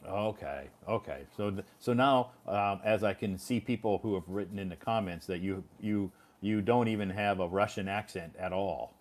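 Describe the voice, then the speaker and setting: Chatty low-voiced male interviewer. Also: normal speed, hardly any pauses. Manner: chatty, rambling, many restarts and fillers. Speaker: host. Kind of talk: casual conversational interview.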